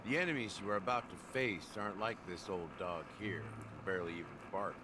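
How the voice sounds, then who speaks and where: A man's voice: sits low, fast, noisy background, lightly acted. A man playing a wry, world-weary, self-deprecating older investigator in a video game.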